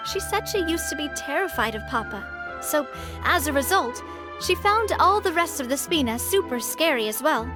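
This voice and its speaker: High voiced young lady boss from a game, poised n assertive, music behind. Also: lively intonation, moderate pace. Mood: warm, reflective. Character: confident, cheerful young woman boss of a syndicate. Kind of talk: video game character acting.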